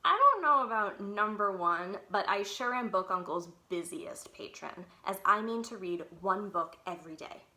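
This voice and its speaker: A woman's voice: mid-range voice, expressive, normal speed, few pauses, pushed and bright. A woman playing a bookish, determined nine-year-old girl in a children's storybook, earnest and spirited. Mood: proud.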